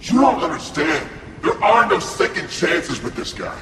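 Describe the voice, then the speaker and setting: Brief: male actor as ruthless military commander, taunting and highly animated, heard roomy and off-mic. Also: normal speed. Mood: commanding. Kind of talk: audio drama acting. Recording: roomy, distant, noisy.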